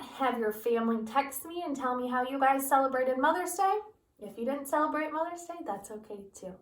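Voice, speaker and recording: A woman teacher reading a picture book aloud to her students, asking them questions as she goes. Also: on the high side. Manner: animated, asks listeners questions. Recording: roomy, from a distance.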